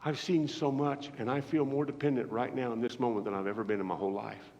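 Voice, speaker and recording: A fifty-something evangelist from Iowa preaching live in a church, moving from booming, fast bursts to hushed asides. His mid-range voice rings through a long church reverb, and the live recording sounds dull and lo-fi. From Iowa, United States. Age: 50s. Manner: animated storytelling, swinging between loud outbursts and quiet asides. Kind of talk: sermon. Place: church sanctuary.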